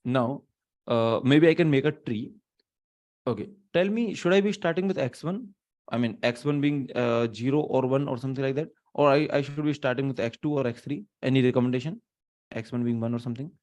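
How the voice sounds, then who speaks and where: An Indian man in his thirties teaches a live online class from a small room, his voice sitting at an ordinary middle pitch. He thinks out loud, stops and restarts, and drops Hindi phrases into his English. The mic is a webcam setup, not close to him. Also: brisk. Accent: Indian English, mixing in Hindi. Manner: thinking aloud, many restarts and fillers.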